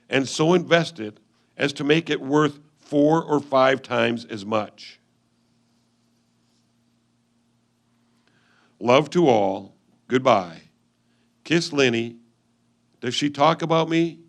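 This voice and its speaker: Earnest 1850s settler husband played by a man, mid-pitched, formal and measured, stage letter reading. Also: vocal fry. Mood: earnest, affectionate. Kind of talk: reader's theatre letter reading.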